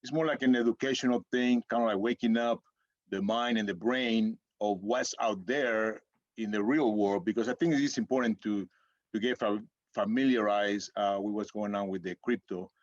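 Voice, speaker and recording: A man's voice: lowish voice, moderate pace, dry recording. Rambling middle-aged father on a podcast. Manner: rambling, searching for words. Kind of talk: casual chat. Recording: dull lo-fi sound, dry room.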